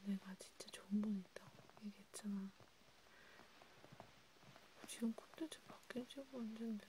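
A woman whispering softly in a casual, hesitant, gently caring chat for an ASMR roleplay. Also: mid-range voice, fairly even intonation, normal speed, a little hiss or hum, poor audio. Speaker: ASMR video creator.

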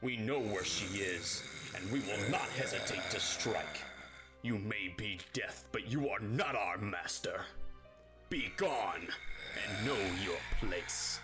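A menacing hellhound guardian played by a man speaks in a fairly low voice with formal contempt, as in a radio play. Clear music plays behind the threats. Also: animated, takes it slowly. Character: haughty, menacing male hellhound guardian of hell's gates.

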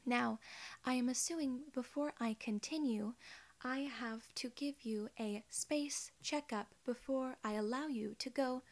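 Woman in her twenties, fairly high pitch, soft ASMR delivery, measured formal wording, polite alien role-play, close mic. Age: 20s. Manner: measured, formal, drawn-out phrasing. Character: young, polite, friendly female alien gatekeeper. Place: small indoor room.

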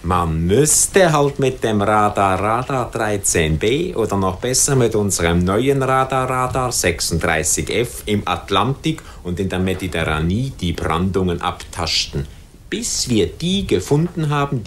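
Slow humble swiss office helper, real low voice, old radio play. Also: male voice, animated, slight background noise. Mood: stubborn, earnest. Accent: Swiss German. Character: humble, deliberate male office servant with bright ideas. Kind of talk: German radio play acting.

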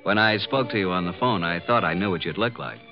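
An actor playing a breezy, wisecracking detective's assistant, glib and sarcastic, in old-time radio drama style. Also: male voice, low voice, fast, music in the background, natural voice. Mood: flirtatious. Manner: glib, sarcastic, smooth-talking. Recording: muffled vintage radio broadcast.

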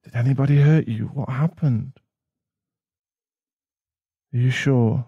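A man's voice: mid-range voice, slow, warm tone, breathy edge, no room sound, highs cut off. A man playing a protective, tender werewolf boyfriend in an ASMR roleplay, speaking in a soothing, reassuring manner. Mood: soothing, worried.

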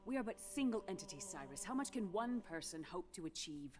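A woman's voice: mid-range voice, very animated, brisk, music in the background, close to an everyday voice. An actress playing an earnest, sorrowful guardswoman in a video game, formal and pleading. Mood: doubting. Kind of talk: scripted video game acting.

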